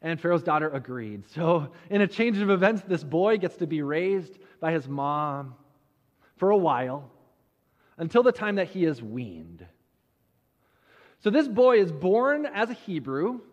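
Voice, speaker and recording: High-voiced male preacher in a reverberant hall. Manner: storytelling with dramatic pauses and rhetorical questions. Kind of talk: sermon retelling a Bible story. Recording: muffled audio with long hall reverb.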